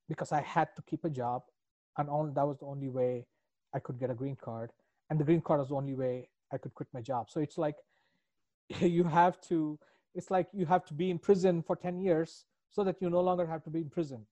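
A man's voice: on the high side, mellow, no room sound, poor audio. A middle-aged man with a foreign accent in English, a business coach answering podcast interview questions in an earnest, reflective, rambling way.

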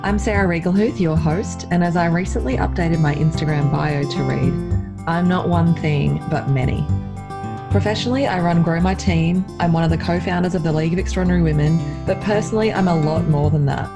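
A woman hosting a podcast, speaking earnestly and reflectively, a little croaky in the early morning. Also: on the low side, fairly quick, over music. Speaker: podcast host. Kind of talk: scripted intro then casual chat.

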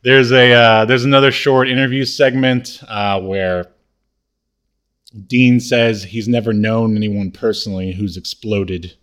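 A man co-hosting a podcast, casually recapping a TV show scene by scene in a halting, hesitant way. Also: lowish voice, steady tone, a bit bright. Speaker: podcast co-host.

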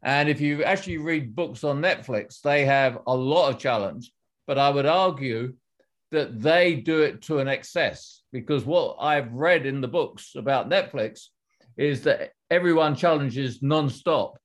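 A middle-aged man, a former executive and author, answering interview questions in a measured, explanatory way with frequent restarts. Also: medium pitch.

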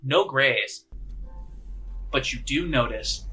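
A man narrating a tabletop game as game master, in a conversational, halting, improvised way. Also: medium pitch, lively intonation, pushed and bright, soft background music. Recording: audible background noise.

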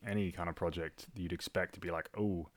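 A man's voice: low-pitched, fairly even intonation, a little fast, no echo. A man hosting a podcast in a casual, conversational style. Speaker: podcast host.

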